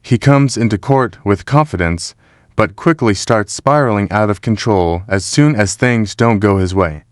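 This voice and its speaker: A man reading scripted voice-over commentary in a steady, disapproving tone. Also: on the low side, normal speed, no echo, studio quality. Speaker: narrator.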